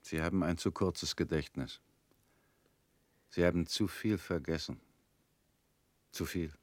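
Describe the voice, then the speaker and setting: Tired middle-aged family guy in a radio play, very low voice, flat and curt, dry close mic. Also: unhurried, no echo. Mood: bitter. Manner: flat, curt, often sinking quiet and low. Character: weary middle-aged family man, guarded and resigned. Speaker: settled family man. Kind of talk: radio drama acting.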